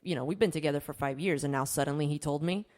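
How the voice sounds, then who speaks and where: A woman's voice: low voice, fast, a little mellow. A woman co-hosting a podcast, talking in a frank, breezy and informal conversational way. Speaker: podcast co-host. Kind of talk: casual conversational podcast chat. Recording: echoey hall-like reverb.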